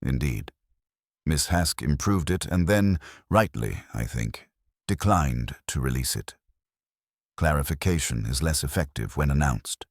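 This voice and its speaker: A man plays a gently evasive village vicar in a mystery story. The voice is extremely deep yet moves about in pitch. He is wordy and mild, forever apologising for himself, and recorded dry and close. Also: lively intonation, a little slow, no echo, heavily acted. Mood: apologetic. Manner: wordy, mild, self-deprecating.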